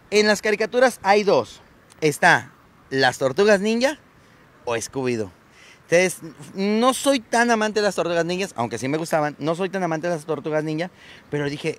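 A man in his thirties, born in Colombia and raised in Mexico, a clown talking casually and slangily outdoors into a held microphone. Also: a little high. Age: 30s. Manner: relaxed, slangy and chatty. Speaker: clown and guest. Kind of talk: casual chat. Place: seaside street outdoors in sunshine. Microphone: interviewer's handheld microphone.

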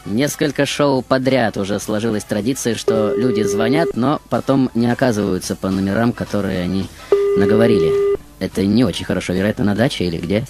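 A man's voice: fairly low pitch, fairly quick. A man hosting a radio show in a dramatic, showman-like manner. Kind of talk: theatrical radio show presenting. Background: music.

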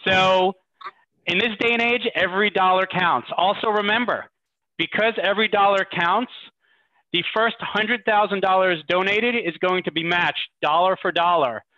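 A man with a high, bright voice on slightly dull video call audio. He presents casually and encouragingly, like someone hosting a fundraiser. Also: moderate pace. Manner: informal, encouraging. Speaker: charity event presenter. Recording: muffled dry video call.

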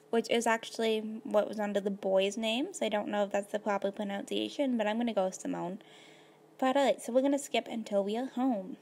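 A woman with a slightly hoarse voice, casually narrating her life-simulation gameplay at a computer, rambling with lots of fillers. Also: medium pitch, darkish tone. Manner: rambling, filler-heavy, laid-back. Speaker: gaming video host.

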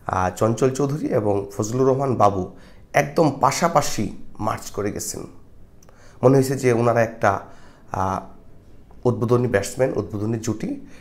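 Middle-aged male film reviewer, reflective and moralizing, to camera on a clip-on mic. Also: medium pitch. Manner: reflective, moralizing, frequent hesitations. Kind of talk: casual film review monologue. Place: small indoor studio with patterned backdrop. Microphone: lapel mic.

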